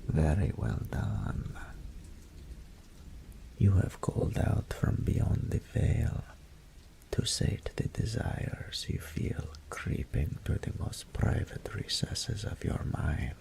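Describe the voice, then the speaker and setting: A male voice actor playing a seductive, smug male greater incubus in an audio roleplay drama, with breathy whispers and a slow, purring, condescending manner. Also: very deep voice, very animated, full character voice. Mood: seductive, teasing.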